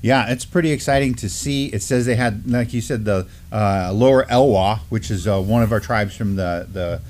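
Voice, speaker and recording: A man's voice: lowish voice, moderate pace, darkish tone, slight background noise. Middle-aged American man, casual news host. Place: indoor studio at a table.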